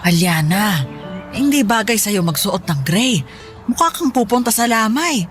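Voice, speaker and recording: A woman's voice: mid-range voice, very animated, a little slow, music in the background. An actress playing a protective, teasing middle-aged Filipino mother in a radio drama, bantering in a motherly way.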